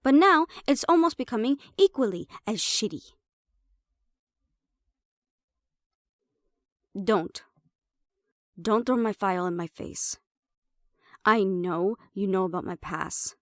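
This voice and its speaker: A woman's voice: mid-range voice, expressive, unhurried, no room sound, lightly acted. Sassy siren hybrid woman, close dry sound, acted. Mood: bitter, defensive. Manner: sassy, sweary, conversational, slipping into Spanish. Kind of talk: roleplay audio drama acting.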